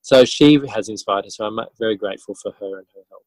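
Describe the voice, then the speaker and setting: A man answering as an interview guest in a casual, halting way, thinking aloud as he goes. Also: on the low side, darkish tone, no room sound, rough recording. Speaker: interview guest, author and educator.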